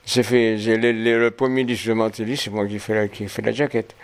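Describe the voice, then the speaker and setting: Older guy from martinique, gravelly lowish voice, lots of euhs and restarts. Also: level tone, a little fast. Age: 70s. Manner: hesitant, many fillers and restarts. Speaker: photographer guest. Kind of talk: interview answers.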